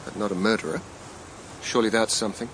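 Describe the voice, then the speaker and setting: A man's voice: lowish voice, fairly even intonation, fast, a bit bright, a little hiss or hum, close to an everyday voice, rough recording. An actor in a radio drama playing an earnest, precise academic, formal and insistent in his speech. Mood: puzzled, earnest.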